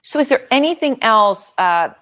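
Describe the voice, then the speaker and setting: A woman asking halting, hedging questions in a broadcast interview clip. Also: a little high, animated, a bit bright, dry recording, studio quality.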